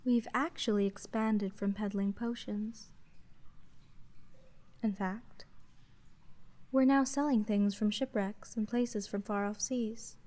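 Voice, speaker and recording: A woman playing an aloof, polite sea witch merchant in a slow ASMR roleplay, speaking formally in a deadpan monotone. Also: medium pitch, lightly acted. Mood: composed, businesslike.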